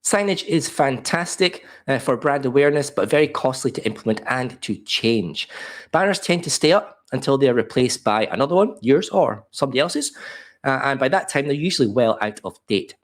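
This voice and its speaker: An Australian man talks through a beginners' webinar while fighting a cold. His voice is croaky and slightly muffled. He keeps it chatty and informal, with frequent ums and small stumbles. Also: medium pitch, expressive, fairly quick. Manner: chatty, self-deprecating, frequent ums and uhs. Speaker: webinar presenter.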